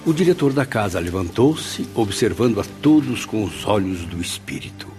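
A man's voice: fairly low pitch, animated, normal speed, darkish tone, lightly acted. An actor playing a humble, awestruck spirit who narrates his memoirs in a radio drama, earnest and reflective. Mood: reverent. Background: music.

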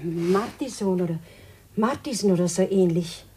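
An actress in a 1950s German radio play as a composed, guarded shopkeeper, cool, clipped and defensive. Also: female voice, fairly low pitch, moderate pace, dark tone, natural voice. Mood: guarded, evasive. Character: guarded, composed female shopkeeper under police suspicion. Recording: noisy 1950s radio recording.

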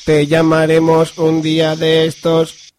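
Deadpan, utterly monotone Martian. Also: male voice, fairly high pitch, slow pace, a little hiss or hum. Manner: clipped, deadpan, robotic. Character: curt, unfeeling Martian alien crewman, pedantic. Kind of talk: comic radio serial acting.

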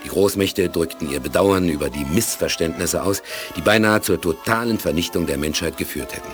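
A male narrator for a 1980s German radio play, with a deep, low voice. He reads scripted drama solemnly and deliberately, with music faintly behind him.

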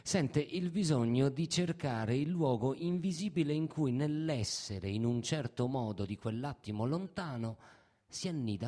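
A man reading a literary essay aloud in deliberate, weighty phrases. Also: mid-range voice, warm tone. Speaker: reader in a radio reading performance.

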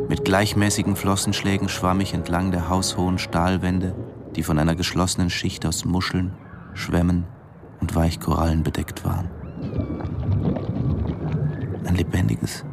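A low-voiced, brooding diving instructor. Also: male voice, creaky. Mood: absorbed, tense. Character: brooding male diving instructor recounting a dangerous dive. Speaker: narrator and lead actor in a radio drama. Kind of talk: German radio drama acting, first-person narration.

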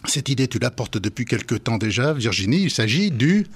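Man, radio host, husky voice, very lively pitch, playful studio presenting. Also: a little fast. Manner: playful, jokey, animated.

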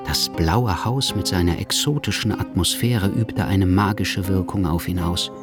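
A man's voice: low voice, measured pace, over loud music. A man reading scripted literary narration for a German radio drama. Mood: measured narration. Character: literary narrator of an exiled revolutionary's life.

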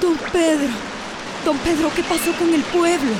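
A woman's voice: extremely high pitch, steady tone, very noisy. A woman with a Costa Rican accent playing a determined flood searcher who reassures others in a radio drama. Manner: firm, reassuring. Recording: loud rushing water behind.

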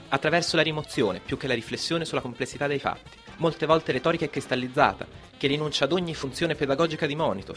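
A man's voice: medium pitch, fairly bright tone. A young man from Italy co-hosting a history radio segment, reading scripted commentary in a rhetorical manner. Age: young adult. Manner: rhetorical, asks questions.

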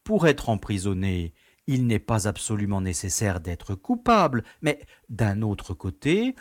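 A man acting as an affable but fussy bureaucrat running a prison, in a radio play. His delivery is theatrical and swooping, formal and gently condescending, in a dry, close sound with an unhurried pace. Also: on the low side, big pitch swings, no echo. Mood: patronising. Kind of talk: French audio drama acting.

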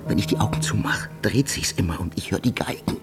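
An actor playing a tormented, haunted soldier in a radio drama, speaking haltingly and often dropping towards a whisper. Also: male voice, fairly low pitch, animated, over music. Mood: haunted, agitated. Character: tormented, haunted young soldier hearing voices, submissive to superiors. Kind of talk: intense radio drama acting.

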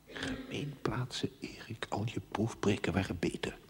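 An actor playing a stern, reproving inner voice in a television drama, speaking in curt, whispery rebukes. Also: male voice, lowish voice, wildly swooping pitch, normal speed. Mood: reproving, scornful. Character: stern inner voice scolding a young priest. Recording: reverberant hall with faint noise.

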